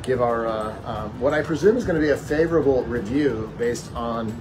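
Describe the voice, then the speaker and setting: A man's voice: medium pitch, moderate pace, over music, poor audio. A man in his forties chatting casually as he reviews a fair dessert, wordy and hesitant, with plenty of fillers and restarts, filmed on a phone in a small wooden shed. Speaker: food reviewer. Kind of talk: casual chat.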